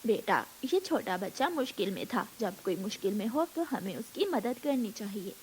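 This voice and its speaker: A woman voicing a kind, motherly myna bird in a cartoon, speaking soothingly and gently. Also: medium pitch, very animated, moderate pace, a little hiss or hum, pitched below the performer's own voice, natural voice. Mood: gently firm.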